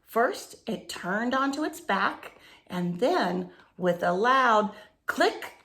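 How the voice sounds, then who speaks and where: A woman storyteller reads a children's book with very lively, swooping intonation. Her voice has a creaky edge, and she stretches phrases for effect. She often turns to her young listeners with little questions and asides. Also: a little high, a little hiss or hum. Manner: animated, drawn-out phrasing, asks the listeners questions. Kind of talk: expressive picture-book read-aloud with asides to children.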